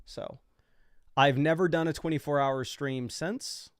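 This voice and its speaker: A man's voice: on the high side, unhurried, smooth, dry recording, clean studio sound. A young man hosting a fantasy football livestream, talking loosely and casually with lots of fillers as he explains his picks.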